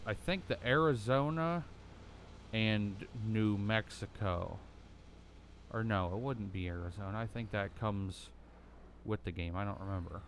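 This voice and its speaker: A young American man with a bassy voice, rambling through casual commentary while playing a driving game, full of ums and likes. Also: a bit bright, slight background noise. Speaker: gaming YouTuber.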